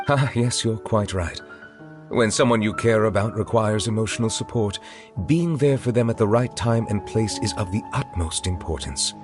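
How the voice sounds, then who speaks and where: A man's voice: lowish voice, close to an everyday voice. A man playing a formal, composed judge. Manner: formal, measured, precise wording. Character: formal chief justice, composed and courteous. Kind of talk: video game dialogue. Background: music.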